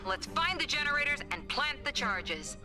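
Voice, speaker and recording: A woman's voice: sits high, sing-song, normal speed, far from the mic. An actress playing a decisive woman hero who directs the team in a matter-of-fact way. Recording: over loud game music.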